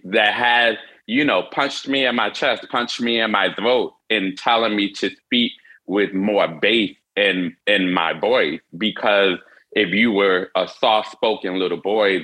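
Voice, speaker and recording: Young man, hearing-aid wearer, interview guest; earnest, halting delivery, bright forceful tone. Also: on the low side, rough recording. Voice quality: wears hearing aids. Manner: earnest, halting with frequent restarts. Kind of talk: candid personal storytelling.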